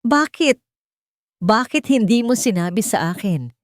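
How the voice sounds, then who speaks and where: A woman's voice: a little high, animated, unhurried, no echo, voice pushed up. A woman narrator plays a wealthy young man in a radio love drama. He is wounded and pleading, with a raised, emotional voice in a dry recording. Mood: pained.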